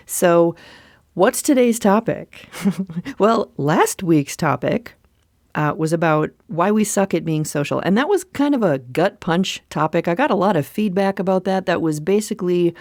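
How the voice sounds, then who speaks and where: A woman's voice: on the low side, animated, unhurried, darkish tone, no room sound, clean studio sound. A woman with a Minnesota accent hosting her own podcast in an upbeat, chatty way, often restarting her sentences. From central Minnesota. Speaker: podcast host. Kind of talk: solo podcast chat.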